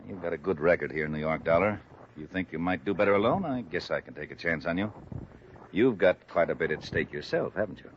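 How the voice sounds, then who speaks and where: A man playing a probing, businesslike American police lieutenant in a 1950s radio detective drama, official and deliberate. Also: sits low, expressive. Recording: muffled old radio recording, faint noise.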